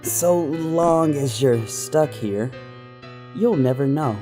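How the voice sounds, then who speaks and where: A man's voice: a little high, lively intonation, slow pace, warm tone. A man playing an aloof, proud siren father in an audio drama, speaking in a terse, dry manner. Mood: probing, wry. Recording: voice under plucked guitar music.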